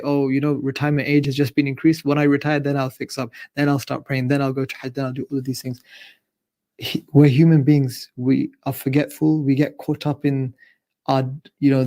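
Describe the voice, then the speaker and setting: Earnest male radio preacher, steady monotone delivery. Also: medium pitch, creaky. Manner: earnest, repetitive, builds points in lists. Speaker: radio presenter giving an Islamic talk. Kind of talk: live radio sermon.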